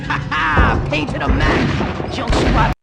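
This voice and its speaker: Sleazy game mobster: creaky gravel in the voice, smug, taunting, loud music behind. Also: male voice, very high-pitched, takes it slowly, full character voice. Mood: mocking. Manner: mocking, smug. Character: taunting, sleazy mobster thug, hotel-running brother.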